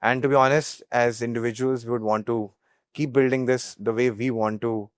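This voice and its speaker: A man's voice: medium pitch, fairly even intonation, normal speed, clear, resonant tone, no room sound. A young man with an Indian accent and a mid-range voice, answering interview questions as a company founder. His delivery is earnest and conversational, recorded very dry with almost no room sound. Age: young adult. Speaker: startup co-founder being interviewed. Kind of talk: business interview.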